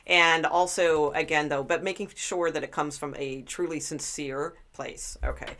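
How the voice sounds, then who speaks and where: A woman with a low speaking voice giving a chatty, rambling tarot reading to live viewers, with lots of fillers and restarts. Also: steady tone, normal speed, a bit bright. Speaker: tarot reader.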